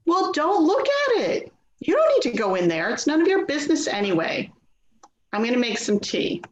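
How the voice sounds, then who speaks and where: Woman acting an exasperated daughter, lively swooping pitch. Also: moderate pace. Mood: impatient. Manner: impatient, dismissive. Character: exasperated, defensive grown daughter. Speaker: actor in a readers theater play. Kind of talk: dramatic play reading. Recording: roomy echo, slight background noise.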